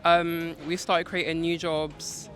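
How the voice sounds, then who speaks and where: A man in his twenties answers casually in an interview. His voice is bright and a little high for a man, with faint music underneath. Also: completely flat delivery, slow. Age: 20s. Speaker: street interviewee. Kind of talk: casual opinion talk.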